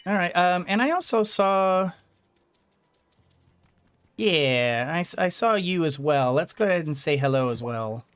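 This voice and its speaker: Mid-pitched, casual gaming commentator. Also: expressive, a little slow, pauses often, a bit bright, clean tone, soft background music. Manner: rambling, thinking aloud. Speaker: gaming streamer.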